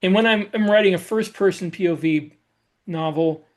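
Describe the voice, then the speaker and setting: A man's voice: sits high, moderate pace. A man speaking as a podcast co-host and writer, casually explaining his own working habits. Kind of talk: casual chat about craft.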